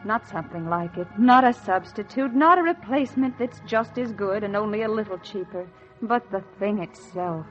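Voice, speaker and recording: An actress playing a wistful, embittered housewife in 1940s radio style, intense and melodramatic, repeating phrases for emphasis. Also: female voice, medium pitch, normal speed, natural voice. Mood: intense longing. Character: wistful, embittered housewife yearning for one luxury. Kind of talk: 1940s radio acting, dramatic monologue and dialogue. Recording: muffled 1940s radio recording with music.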